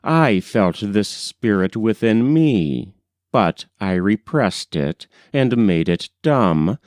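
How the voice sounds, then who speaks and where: Male audiobook narrator for period fiction: slow, measured delivery, dry studio-like sound. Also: lowish voice, very animated, a little mellow, no echo. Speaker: audiobook reader. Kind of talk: scripted literary narration of a 19th-century novel.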